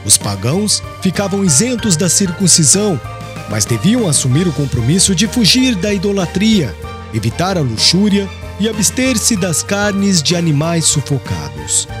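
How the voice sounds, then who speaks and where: A man narrating a literary audiobook in a formal, expressive reading style. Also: high-pitched, normal speed, over music.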